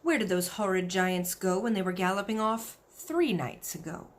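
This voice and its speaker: A woman voicing a commanding, unflappable storybook queen in a brisk, matter-of-fact manner. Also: mid-range voice, lightly acted. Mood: businesslike.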